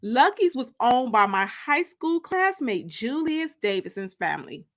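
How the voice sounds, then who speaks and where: A woman reading a first-person story as dramatic audiobook narration, expressive and deliberate, drawing out the ends of phrases. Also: a little high. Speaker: author reading her book.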